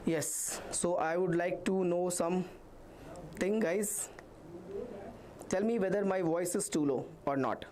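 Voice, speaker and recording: A man's voice: high-pitched, slow pace, pauses often, darkish tone, a little hiss or hum. A man with an Indian accent teaching a live online maths revision class, reassuring students and reading their comments aloud.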